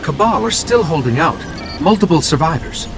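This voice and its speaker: A man's voice: on the high side, lively intonation, moderate pace. A man voicing a small robot scout in a video game, inquisitive and wry, explaining things expressively. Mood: alert, curious. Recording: game audio with music behind.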